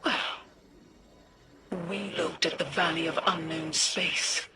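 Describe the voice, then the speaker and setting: A woman's voice: low-pitched, steady tone, slow. A woman voicing a cryptic cosmic entity in a game, whimsical, grandiose and taunting in a theatrical way. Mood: grandiose, playful. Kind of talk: scripted game cutscene dialogue.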